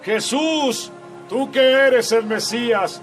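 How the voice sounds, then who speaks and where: Desperate, high-pitched blind beggar crying out. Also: male voice. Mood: desperate pleading. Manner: drawn-out, pleading cries. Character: desperate blind male beggar pleading loudly for mercy. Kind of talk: dramatized audio Bible acting. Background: music.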